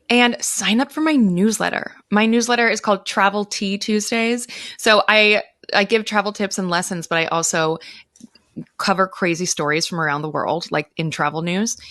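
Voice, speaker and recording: A woman's voice: mid-range voice, a bit bright, dry recording, clean studio sound. A woman speaking as a podcast guest, telling stories casually and emphatically and acting out what people said. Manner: emphatic, informal, acts out quoted speech. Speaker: podcast guest, travel writer. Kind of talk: animated casual storytelling.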